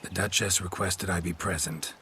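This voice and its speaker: A man playing a stoic, weary monster hunter in a video game, speaking in a terse, restrained way with a gravelly voice. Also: sits low, normal speed, a little hiss or hum. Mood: measured, calm. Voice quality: gravelly, husky. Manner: terse, restrained, even-handed. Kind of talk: video game cutscene acting.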